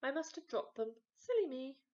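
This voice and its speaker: A woman's voice: sits high, big pitch swings, normal speed, warm tone, muffled recording, pitched above the performer's own voice. A woman voicing a doting, slightly muddled elderly grandmother in a children's story. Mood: mildly puzzled.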